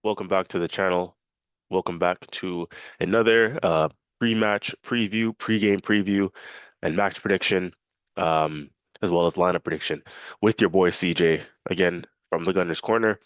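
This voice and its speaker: Fan channel host, male, low voice, stuffy nose, casual rambling delivery, dry close recording. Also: normal speed, clean tone, no room sound. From Canada. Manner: casual, filler-heavy, repeats himself. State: congested, nasal from a cold. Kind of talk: football match preview talk.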